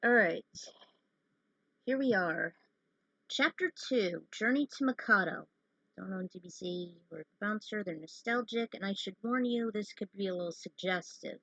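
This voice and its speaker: A woman's voice: medium pitch, moderate pace, vocal fry, fairly bright tone, no echo. A woman narrating her fan fiction in an informal read-aloud style with casual asides. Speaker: fan fiction reader and host. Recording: dull lo-fi close recording.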